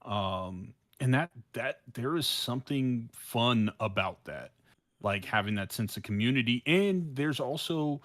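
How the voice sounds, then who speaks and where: A man's voice: medium pitch, lively intonation, a little slow, a bit bright, dry recording. A man co-hosting a podcast, talking casually with slang, swearing and a playful way about him. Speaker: podcast co-host. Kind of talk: casual chat.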